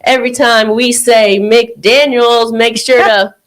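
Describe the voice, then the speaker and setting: A woman's voice: on the high side, fairly even intonation, slow, a little hiss or hum. A young adult woman hosting a live call-in podcast, talking in upbeat, slangy banter. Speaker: podcast host. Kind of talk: live call-in show chat.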